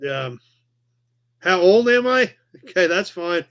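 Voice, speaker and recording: American male livestream host, forties, slightly high voice, easygoing rambling chat delivery. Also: big pitch swings, slow, a bit bright. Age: 40s. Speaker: live chat show host. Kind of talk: casual rambling livestream chat, reading and answering viewer comments.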